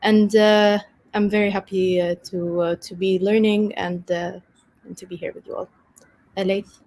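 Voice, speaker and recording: A young woman from Lebanon, an intern, introducing herself in an earnest, halting way. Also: mid-range voice, level tone, darkish tone. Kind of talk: self-introduction.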